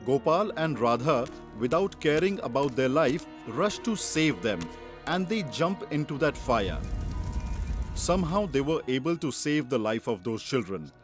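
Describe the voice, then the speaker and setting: A man's voice: medium pitch, sing-song, a little slow, over music. A man narrating a children's story as a scripted read.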